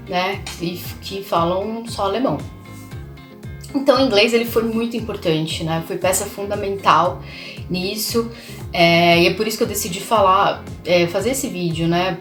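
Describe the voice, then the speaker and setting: A woman's voice: medium pitch, echoey room. A young woman from Brazil talking casually to her viewers as a language channel host, chatty and full of fillers and tag questions. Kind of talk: casual vlog talk to viewers.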